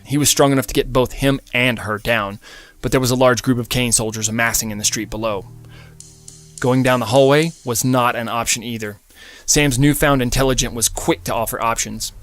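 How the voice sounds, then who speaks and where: A man's voice: mid-range voice, steady tone, fairly quick, fairly bright tone. Male audiobook narrator over music. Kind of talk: dramatic scripted fiction narration.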